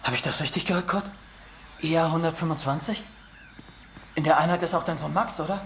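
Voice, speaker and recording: A man acting a brisk, commanding German soldier at a radio post in a video game. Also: a little high, creaky, a bit bright, slight background noise. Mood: concerned.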